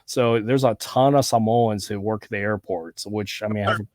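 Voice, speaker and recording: Man with a relaxed SoCal drawl. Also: on the low side, dry recording, clean studio sound. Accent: Southern California with a Hawaiian touch. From Southern California. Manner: relaxed, rambling, quick to agree. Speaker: podcast host. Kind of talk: casual conversation.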